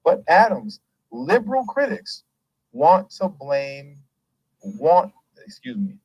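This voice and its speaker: A man's voice: a little high, slow, breathy edge, no room sound, poor audio. A man hosting a political news show, reading an article aloud and stopping often to add his own remarks and asides. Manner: reads a passage, then stops to add his own remarks; animated, interrupts himself with asides and laughs.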